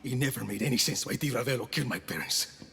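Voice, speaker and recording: An actor playing a blunt, driven action hero in a video game cutscene. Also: male voice, medium pitch, expressive, fast, creaky, a bit bright, breathy edge, full character voice. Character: grown man, tough action hero. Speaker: protagonist.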